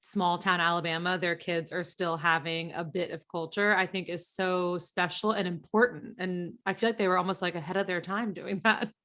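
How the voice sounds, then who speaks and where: A woman's voice: fairly low pitch, fairly even intonation, normal speed, hardly any pauses, fairly bright tone. A woman, hosting a podcast in a casual, agreeable, chatty way. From southern US. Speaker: podcast host, interviewer. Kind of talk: casual chat.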